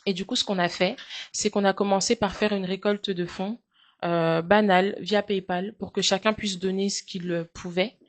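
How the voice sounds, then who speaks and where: A French woman in her thirties talks through an interview in an earnest, measured way. Her voice sits at an ordinary middle pitch, and she stops often on small hesitant fillers. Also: fairly even intonation. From France. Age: 30s. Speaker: guest, charity founder. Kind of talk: interview answers.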